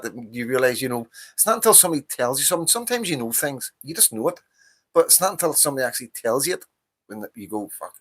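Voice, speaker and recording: A man's voice: medium pitch, a bit bright. Northern irish guy, 50s, hosting his own live stream, heated rant, lots of swearing and repeating himself. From Northern Ireland. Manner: impassioned, ranting, profane, repeats himself. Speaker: livestream host. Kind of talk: casual political monologue.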